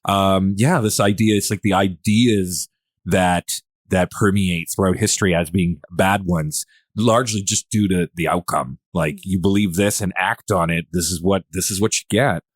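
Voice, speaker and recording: A man's voice: deep voice, fairly even intonation, normal speed, dry recording. A man hosting a casual podcast discussion, agreeable and rambling as he thinks aloud. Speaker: podcast host.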